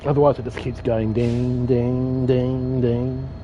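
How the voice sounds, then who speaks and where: A man's voice: mid-range voice, monotone, slow, mellow. A man chatting casually while playing a train driving game, rambling and joking at himself. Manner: rambling, self-deprecating, mild swearing. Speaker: gaming streamer. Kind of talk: casual gameplay commentary. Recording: dull lo-fi audio with faint game noise.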